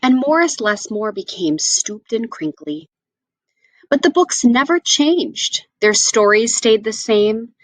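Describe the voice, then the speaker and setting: A woman tells a children's story in an expressive read-aloud style. Her pitch moves freely and animatedly as she shapes each phrase with care. The sound is dry, with no room echo. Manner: expressive, with deliberate phrasing and asides to listeners.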